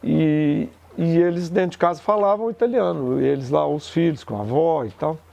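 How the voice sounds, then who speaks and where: A man's voice: a little high, moderate pace, gravelly, mellow, highs cut off. A man interviewed about his childhood memories, speaking hesitantly and reflectively. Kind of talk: casual recollection.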